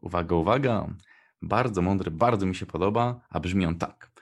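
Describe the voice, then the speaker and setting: A man with a low, deep voice co-hosts on radio. He presents and recites short pieces for the listeners. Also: moderate pace, creaky. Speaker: radio show co-host.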